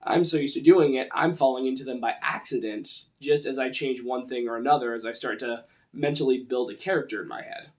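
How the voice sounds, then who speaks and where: A man's voice: medium pitch, brisk, hardly any pauses. A man from the western United States with a Western American accent, presenting an informal, conversational lesson on tabletop roleplaying. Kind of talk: informal instructional talk.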